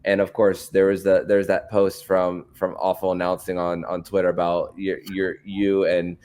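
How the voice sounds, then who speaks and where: A man hosting a sports podcast, asking long-winded interview questions in a halting, repetitive way. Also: deep voice, monotone, a little mellow.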